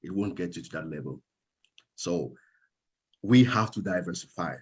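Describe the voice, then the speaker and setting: A man's voice: deep voice, lively intonation, normal speed, husky. A young man from Cameroon speaking as a guest in a casual interview, hesitant, with many fillers and restarts. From Cameroon, Northwest. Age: young adult.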